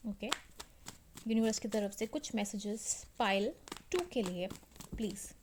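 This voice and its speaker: Slow-talking Indian woman reading cards. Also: medium pitch, lively intonation, noisy background. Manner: mixes Hindi with English phrases. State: slightly sore throat. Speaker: tarot reader and channel host. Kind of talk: casual card reading addressed to viewers.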